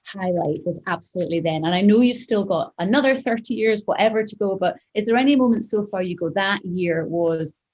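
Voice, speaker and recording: A woman's voice: medium pitch, fairly quick, few pauses, a little mellow. A woman in her forties hosting a radio interview, chatty, upbeat and encouraging. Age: 40s. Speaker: radio host.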